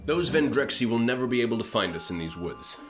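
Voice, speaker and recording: A pompous starship captain , played by a man, with a mid-pitched voice that swings widely up and down. He is sarcastic, dismissive and easily exasperated, in comic radio play style. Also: animated, fast, fairly bright tone, soft background music, close to an everyday voice. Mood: smug.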